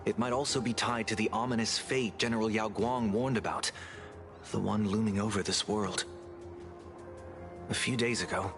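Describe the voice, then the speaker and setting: A man voicing a calm, courteous and solemn gentleman in a video game, measured and polite. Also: on the low side, close to an everyday voice.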